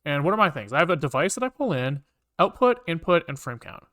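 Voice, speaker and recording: A man's voice: a little high, sing-song, fast, bright, forceful tone. A man talking casually to himself as he works through code on a live stream, informal and muttering. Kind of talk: casual think-aloud live coding commentary.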